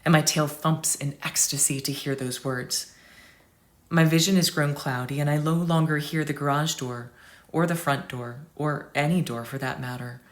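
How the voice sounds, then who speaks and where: A woman reading a story in the voice of a gentle, devoted aging female dog, soft and tender. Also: sits low, fairly even intonation, normal speed, natural voice.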